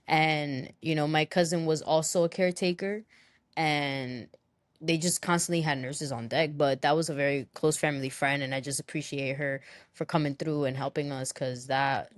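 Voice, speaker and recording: A woman's voice: deep voice, no echo. A woman co-hosting a podcast, chatting casually with loose slang and free swearing. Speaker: podcast co-host. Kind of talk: casual chat.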